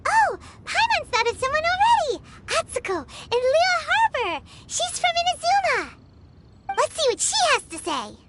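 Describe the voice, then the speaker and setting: A woman playing a tiny, chatty, excitable fairy companion in a video game, with a squeaky childlike voice. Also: moderate pace, heavy background noise, full character voice. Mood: excited, eager. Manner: speaks of self in third person, exclamatory. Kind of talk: video game character acting. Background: background music.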